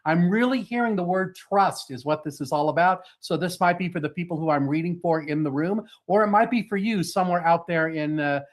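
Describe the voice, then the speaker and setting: A man hosting a live online tarot reading, talking fast, chatty and reassuring over a video call. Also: sits high. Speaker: psychic reader, show host.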